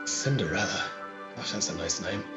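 A man's voice: sits low, lively intonation, normal speed, over music. A man in his thirties playing a bashful, smitten, fumbling prince who poses as a servant in a comic pantomime. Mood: smitten, flustered. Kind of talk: pantomime comedy. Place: living room, video call.

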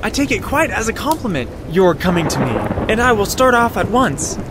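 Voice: medium pitch, sing-song, brisk, close to an everyday voice. A performer playing a meek, naive little man, humble and earnest, in an audio storybook drama. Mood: obliging. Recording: faint background noise.

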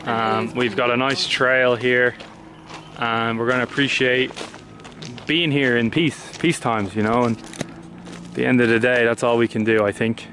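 A young man in his twenties, talking earnestly and haltingly while vlogging outdoors at arm's length. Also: medium pitch, steady tone, a bit bright, a little hiss or hum. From Ireland. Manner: earnest, thoughtful, halting with fillers. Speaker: travel vlogger. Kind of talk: casual travel vlog chat. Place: outdoors on a hot day. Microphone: handheld camera at arm's length. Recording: background music under voice.

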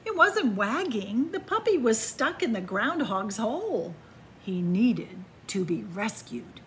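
A woman reads a picture book aloud in a library, her fairly high voice swinging widely between hushed whispers and bright exclamations. She takes her time with each line. A faint hum of room noise sits behind her. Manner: slow, expressive storytelling with hushed whispers and excited rises. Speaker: children's librarian reading aloud. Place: library in front of bookshelves. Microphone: camera facing the book.

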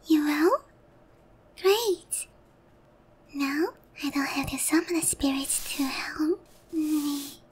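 A woman playing a lonely, sweet and softly possessive young witch girl in an ASMR roleplay, breathy and gentle. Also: high voice, rough recording. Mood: sweet, cheerful. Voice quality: soft, breathy, whispery. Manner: slow, gentle, coaxing.

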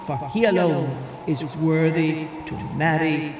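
A man voicing a roaring male wind who speaks forcefully but ruefully. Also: a little high, fairly even intonation, unhurried, reverberant, big-room sound, over music, close to an everyday voice.

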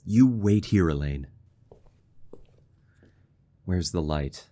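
A man playing a grave, protective young fiancé who narrates and acts in a horror radio drama, in measured speech. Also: low voice, expressive, warm tone, full character voice. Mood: concerned, urgent.

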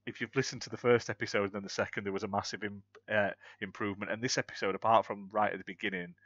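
A man's voice: on the low side, level tone, very fast, a bit bright, no room sound, muffled recording. A British man from the UK co-hosting a gaming podcast, chatting casually and rambling through news with frequent restarts. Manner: rambling, self-correcting, chatty. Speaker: podcast co-host. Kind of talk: casual chat.

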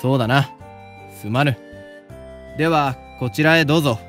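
An actor playing a confident, kindly senior samurai student with a Yamaguchi accent and old-fashioned turns of speech, in a voice drama. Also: male voice, mid-range voice, normal speed, a bit bright, soft background music, natural voice. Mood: affable. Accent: Yamaguchi (Choshu). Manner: old-fashioned, breezy authority. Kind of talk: anime-style voice drama.

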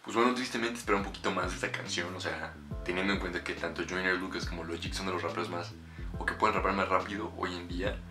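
A man's voice: low voice, fairly even intonation, fast talker. A man in his twenties with a Mexican accent, chatting casually and with slang as he gives a music reaction. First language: Spanish. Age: 20s. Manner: informal, slangy, swearing. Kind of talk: casual reaction and review chat. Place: small bedroom, indoors. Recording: roomy bedroom echo, faint music.

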